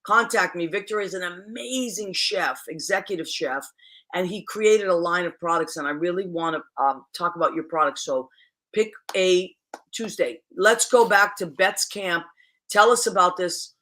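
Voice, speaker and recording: A middle-aged woman with a bright, forward voice hosts a chatty home webcast. She speaks in animated, exclamatory bursts and keeps cutting in with questions. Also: lowish voice, normal speed. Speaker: talk show host. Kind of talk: casual interview chat. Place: home room, indoors.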